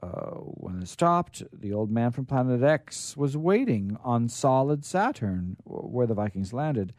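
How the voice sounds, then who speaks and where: A man hosting a radio show, reading stories aloud in an informal, halting but theatrical way. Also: medium pitch, lively intonation, darkish tone, no echo, clean studio sound. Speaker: radio show host and storyteller.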